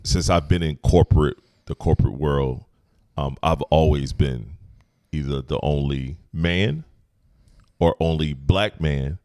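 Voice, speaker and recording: A man in his thirties with a deep voice, hosting a podcast in relaxed, agreeable casual chat. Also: measured pace, dry recording, studio quality. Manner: relaxed, agreeable, frequent "mm-hmm" and "right". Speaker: podcast host.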